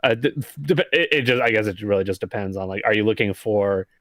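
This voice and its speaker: A man's voice: lowish voice, quick pace, a bit bright, no room sound, clean studio sound. A man co-hosting a casual book club podcast, easygoing, laughing often. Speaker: podcast co-host.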